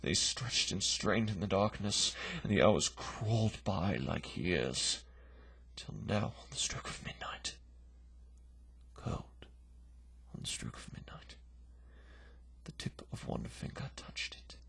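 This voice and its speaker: A man giving a theatrical, dramatic poetry recitation in a breathy, gravelly voice, moving between hush and forceful declamation. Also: sits low, animated, slow pace, whispered. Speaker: poetry reader.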